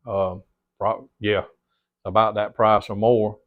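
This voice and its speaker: A middle-aged man with a lowish voice and a slightly stuffy nose talks loosely to his camera, circling back and repeating himself as he addresses his viewers as "guys". The sound is dull and lo-fi, as if from a modest camera mic in a home theater room. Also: level tone, slow pace. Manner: rambling, repeats words, keeps addressing "guys". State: a little stuffy-nosed. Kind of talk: casual chat to camera.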